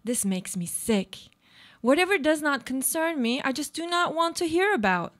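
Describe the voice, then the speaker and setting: A woman's voice: high voice, big pitch swings, normal speed, fairly bright tone. A woman playing an aloof, self-absorbed woman in a live radio play, speaking formal, literary monologues. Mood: disdainful. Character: aloof, self-absorbed woman clinging to her comfortable life.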